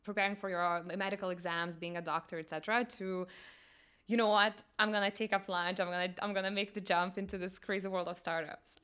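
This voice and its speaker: A woman hosting an interview, encouraging and quick to laugh, with hesitant, searching phrasing. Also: medium pitch, flat delivery, a bit bright, smooth. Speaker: podcast host. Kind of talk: casual interview conversation.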